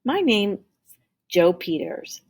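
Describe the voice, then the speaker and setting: A woman voicing a kind, humble homeless man in a children's storybook, speaking gently and plainly. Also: medium pitch, very animated, takes it slowly, husky, pitched above the performer's own voice, heavily acted. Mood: grateful.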